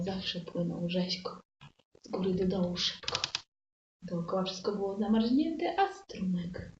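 Woman telling an improvised fairy tale, medium pitch, muffled roomy recording. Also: dark tone. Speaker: storyteller. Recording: roomy, dull, noisy live stage recording.

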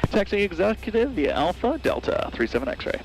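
An American male pilot with a gravelly, creaky voice makes clipped, slightly hesitant radio calls on a cockpit headset. Loud music plays behind him. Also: high-pitched, very animated, a little fast, low-quality recording. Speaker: private pilot. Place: small airplane cockpit. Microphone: aviation headset boom mic. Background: music, aircraft.

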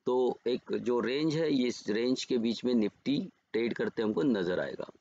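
A man with an Indian accent giving trading levels over charts as a market educator, unhurried and pausing often to correct himself. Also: mid-range voice, expressive, a little mellow, dull audio. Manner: unhurried, pauses and self-corrects while reading levels. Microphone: screen recording voice-over.

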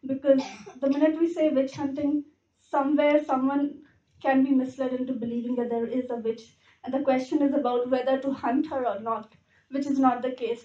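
A woman's voice: sits high, dark tone. A young woman with an Indian accent giving an informal, carefully phrased talk as a guest speaker in an auditorium. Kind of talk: informal public lecture. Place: lecture auditorium stage. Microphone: podium microphone, picked up from afar. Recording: roomy, dull, distant hall recording.

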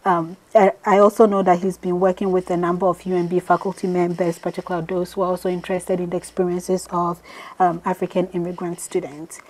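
A woman, a graduate student, reading a prepared introduction of a speaker over a video call at a measured, steady pace. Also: fairly low pitch, low-quality recording.

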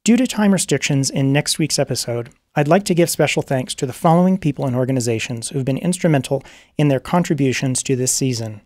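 Guy hosting a radio drama, polite formal script read, medium pitch, very dry close sound. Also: quick pace, darkish tone, dry recording. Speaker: radio drama producer and host. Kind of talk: formal scripted broadcast introduction. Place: radio studio, live broadcast.

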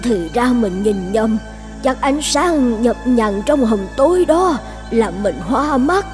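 Kindly old village midwife, high-voiced. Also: female voice, expressive, takes it slowly, darkish tone, soft background music. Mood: anxious, astonished. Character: kind, selfless elderly village midwife and grandmother. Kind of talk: animated folktale dubbing.

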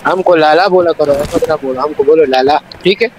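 A man's voice: fairly high pitch, a little fast, a little mellow. A man, bantering bossily with his squad in casual online game chat. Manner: bantering, bossy. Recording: faint noise, game sounds behind.

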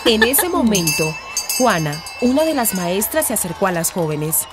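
A woman's voice: medium pitch, sing-song, moderate pace, music in the background. A woman with a Colombian accent reading scripted narration for a radio drama.

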